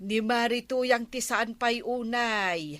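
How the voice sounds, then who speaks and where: A woman's voice: fairly high pitch, slow pace, a bit bright, dry recording. A woman with an Ilocano Filipino accent playing a gossipy villager in a comedy radio drama.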